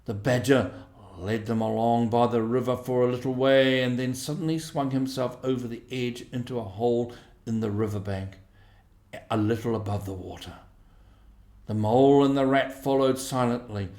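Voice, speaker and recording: A man narrating a storybook in a measured, expressive way. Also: medium pitch, roomy sound. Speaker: audiobook reader.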